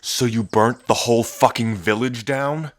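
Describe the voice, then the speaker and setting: A man's voice: fairly even intonation, a little slow, no room sound, lightly acted. A man playing a cocky, teasing dragon prince and protective big brother in an ASMR audio drama. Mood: alarmed, angry. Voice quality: deep, low. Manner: smug, flirtatious, teasing, sweary.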